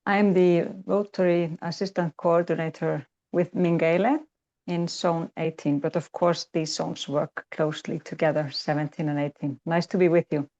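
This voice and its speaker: Low mellow woman from Iceland. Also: steady tone, moderate pace, muffled recording. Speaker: assistant coordinator.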